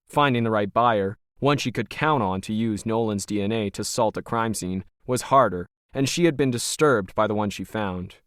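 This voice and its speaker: A man's voice: on the low side, normal speed, hardly any pauses, fairly bright tone, dry recording, studio quality. A man narrating a scripted science fiction story.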